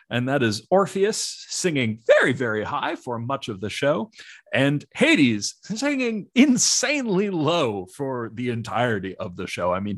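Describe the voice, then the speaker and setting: A man with a low voice, co-hosting a podcast and chatting earnestly about musical theater. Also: a bit bright, dry recording, clean studio sound. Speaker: podcast co-host.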